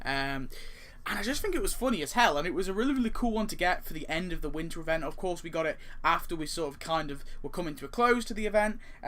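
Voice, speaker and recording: A man's voice: a little high, fairly bright tone. A young man hosting a casual countdown video, rambling and repeating words for emphasis. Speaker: gaming video host.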